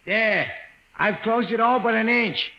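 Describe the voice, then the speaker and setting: An actor in an old-time radio drama playing a slow-witted, eager, servile night watchman who stammers and repeats his words. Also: high voice. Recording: dull lo-fi old radio recording.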